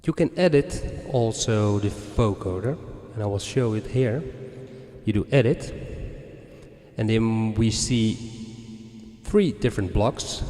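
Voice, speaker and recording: A man's voice: lowish voice, slow, warm tone. A man presenting a hands-on music gear tutorial, explaining methodically step by step.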